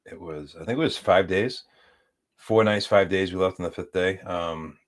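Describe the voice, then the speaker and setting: A man's voice: sits low, normal speed. A man from the United States chatting as a podcast guest, easygoing and rambling as he tells stories. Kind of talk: casual chat.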